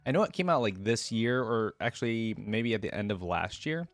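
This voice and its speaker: A man's voice: on the low side, animated, moderate pace, no echo, soft background music. A man hosting a reaction video, giving chatty, informal, off-the-cuff commentary. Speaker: reaction video host, streamer.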